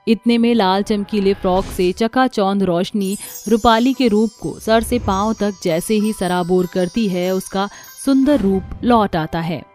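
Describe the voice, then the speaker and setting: A woman's voice: mid-range voice, unhurried, hardly any pauses, darkish tone, faint music behind. A woman reading scripted storybook narration as the narrator of a moral tale.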